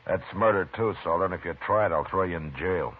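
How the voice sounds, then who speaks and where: An actor playing a calm, firm frontier lawman in a 1950s radio drama, measured and deliberate. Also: low voice. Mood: steady. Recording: tinny old radio broadcast with hiss.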